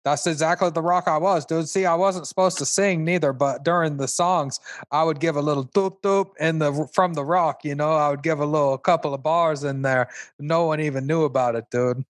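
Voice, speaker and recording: A man acts out an easygoing slacker dude hosting a comedy talk show. His voice sits fairly high and sounds dry and close. He is laid-back yet enthusiastic, chatting with his guest like a pal. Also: steady tone, moderate pace, no room sound, natural voice. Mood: chummy, boastful. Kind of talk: mock interview on a comedy radio show.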